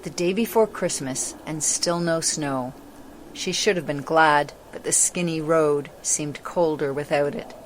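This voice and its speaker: A female voice, set low, reads a children's story. The delivery is scripted, dramatic narration. Some background noise sits behind the voice. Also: unhurried, low-quality recording.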